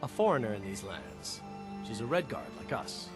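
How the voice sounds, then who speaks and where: Brusque, lively-pitched warrior played by a man. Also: moderate pace, music in the background, natural voice. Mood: guarded. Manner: commanding, impatient. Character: stern male Redguard warrior hunting a fugitive, brusque. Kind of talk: fantasy video game dialogue.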